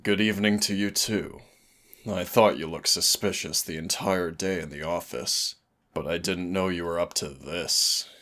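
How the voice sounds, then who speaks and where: A brooding immortal demon voiced by a man speaks in a very deep voice. He takes his time over each phrase, suave and darkly affectionate, with solemn drama suited to an intimate audio roleplay. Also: pushed and bright. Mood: teasing, amused. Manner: teasing endearments, measured, solemn dramatic delivery. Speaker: demon hunter's mentor and partner.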